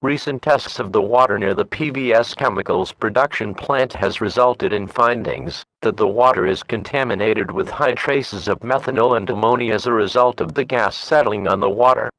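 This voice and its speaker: Dry, measured synthetic male alert announcer. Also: medium pitch, a bit bright, no room sound, low-quality recording. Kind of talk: formal scripted emergency broadcast announcement.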